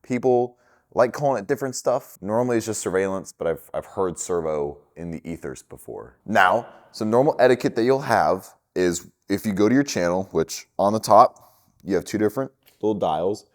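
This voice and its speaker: A man's voice: fairly low pitch, animated, moderate pace. A man explaining things step by step in an informal, conversational way, like a casual instructional video. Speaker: instructor for a film gear rental company. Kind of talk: casual instructional crash course to camera.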